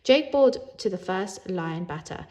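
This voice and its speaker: A woman reading a children's book aloud in an animated way, as a storyteller who acts out the dialogue. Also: medium pitch, a little slow.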